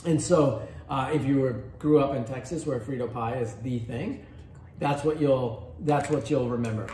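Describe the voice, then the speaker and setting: A man's voice: medium pitch, normal speed, dark tone. A man leading a cheese tasting class, guiding listeners step by step in an easygoing way. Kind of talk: casual tasting instruction. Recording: echoey room, faint background noise.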